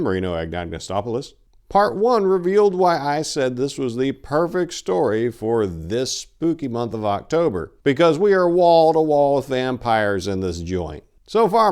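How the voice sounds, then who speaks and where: A man narrating in the style of a dramatic story reading, with a theatrical, measured delivery. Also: lowish voice, darkish tone.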